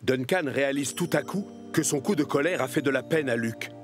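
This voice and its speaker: A man delivers scripted narration for a children's story. His voice sits at a middle pitch, with clear music running underneath. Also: a little fast. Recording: music behind the voice.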